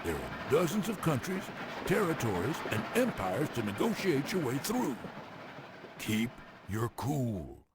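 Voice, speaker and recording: An actor playing a crusty, teasing old American railroad man, narrating game cutscenes in a folksy, theatrical way with a gravelly voice. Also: medium pitch, huge pitch swings, unhurried, background noise. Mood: storytelling. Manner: folksy, scolding, colorful old-time slang.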